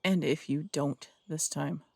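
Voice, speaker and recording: A man's voice: a little high, fairly even intonation, unhurried, creaky, no room sound, clean studio sound. A man playing a stern male detective who runs the morgue, blunt and warning in an audio drama.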